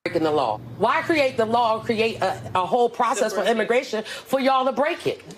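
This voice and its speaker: A woman's voice: on the high side, expressive, normal speed. A woman speaking bluntly and emphatically in a spontaneous interview, giving her opinion.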